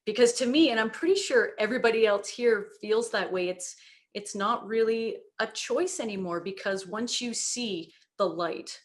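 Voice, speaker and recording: A woman speaking earnestly on a panel discussion about children's books, reflective and steady, with frequent "you know" fillers. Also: fairly high pitch, normal speed. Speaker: children's book creator on a panel.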